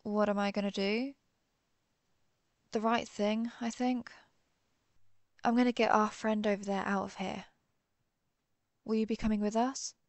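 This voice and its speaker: A woman playing an earnest, conflicted vampire hunter who is herself a vampire, speaking formally with hesitant pauses in a dramatic audio roleplay. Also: mid-range voice, level tone, dry recording, natural voice. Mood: grave, resolute. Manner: formal, old-fashioned wording, hesitant pauses. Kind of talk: dramatic audio roleplay, one-sided dialogue.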